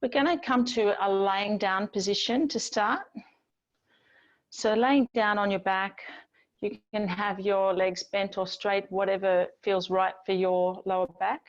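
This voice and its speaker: Woman, yoga instructor, slow unhurried delivery, gentle soothing guidance, long pauses, slightly muffled lo-fi recording. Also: medium pitch, fairly even intonation. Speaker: yoga teacher. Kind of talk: guided yoga and relaxation instruction.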